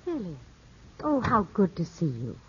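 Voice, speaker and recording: An actress playing a gracious, gently teasing widow in a 1950s radio drama, speaking in a composed, courteous way. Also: female voice, medium pitch, huge pitch swings, unhurried, a little hiss or hum. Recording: muffled 1950s radio recording.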